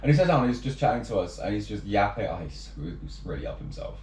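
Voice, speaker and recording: A man's voice: on the low side, very animated, fast, a little mellow. A young man from England co-hosting a podcast, rambling through a night-out anecdote full of fillers and acted quotes. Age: young adult. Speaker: podcast co-host. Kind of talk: casual storytelling chat. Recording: roomy, distant with faint background noise.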